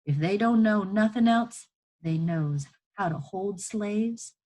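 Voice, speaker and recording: A woman's voice: mid-range voice, takes it slowly, warm tone. A woman voicing a weary, motherly enslaved woman in a storybook, firm and admonishing yet tender, in old-time rural Southern dialect. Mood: stern, resigned.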